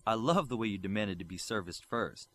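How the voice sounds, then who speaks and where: A man voicing a calm, commanding, protective superhero leader in an audiobook. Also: fairly low pitch, fairly quick.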